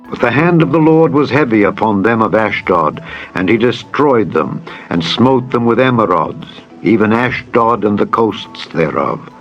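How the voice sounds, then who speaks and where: A man narrating scripture in a dramatized style, with solemn, measured, deliberate phrasing. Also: on the low side. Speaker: Bible narrator. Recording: dull lo-fi audio with music. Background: music bed.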